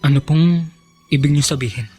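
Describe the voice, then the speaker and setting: A man's voice: fairly high pitch, normal speed, mellow, slightly rough, soft background music. A man playing a stubborn, devoted, emotional young son in a Filipino radio drama, with a Filipino accent. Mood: tender, earnest.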